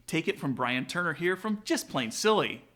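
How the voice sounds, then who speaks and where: A man's voice: fairly high pitch, expressive, normal speed, sharp tone. A man narrating a scripted but casual tutorial as a vlog host, speaking directly to viewers. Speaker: aviation vlog host, student pilot. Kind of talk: upbeat scripted tutorial narration with sponsor read.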